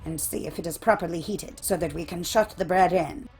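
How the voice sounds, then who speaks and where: A wicked old witch growls low and slow, mocking her prey, as a woman storyteller puts her on. Faint music plays underneath. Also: darkish tone, lightly acted. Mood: menacing. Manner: low, slow, menacing muttering.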